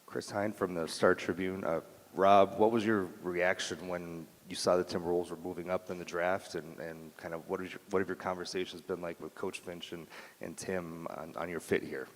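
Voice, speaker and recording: A man, a sports reporter, putting questions at a press conference in a halting way. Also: sits low, little pitch movement. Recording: echoey hall with long reverb.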